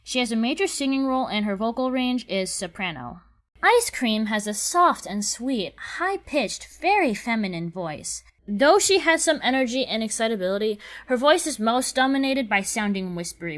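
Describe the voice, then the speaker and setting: A woman narrating a scripted casting call with image descriptions, reading in a measured, methodical way. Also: mid-range voice.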